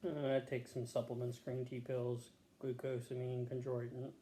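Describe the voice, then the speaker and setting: Man, patient in interview, dark relaxed voice, hesitant short answers, casual, roomy echo, faint noise. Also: mid-range voice, moderate pace. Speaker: patient answering health history questions. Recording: echoey room with faint background noise.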